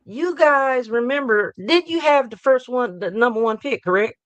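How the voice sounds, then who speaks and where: A hoarse woman with a fairly high voice hosts a live sports fan show. She talks in upbeat, rambling bursts and repeats her hype lines. The sound is dry and close. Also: expressive, moderate pace, dry recording. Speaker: host.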